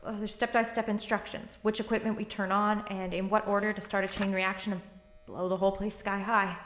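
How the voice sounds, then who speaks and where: A woman's voice: medium pitch, flat delivery, brisk, a bit bright, faint music behind, close to an everyday voice. A woman playing a determined, anxious young college student in an audio drama. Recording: roomy, faintly noisy recording.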